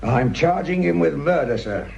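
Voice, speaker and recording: An actor playing a principled, stubborn detective sergeant in a radio drama, polite yet plain-spoken and defiant. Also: male voice, fairly high pitch, lively intonation, moderate pace, creaky, darkish tone, a little hiss or hum. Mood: firm, defiant. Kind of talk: broadcast radio drama acting.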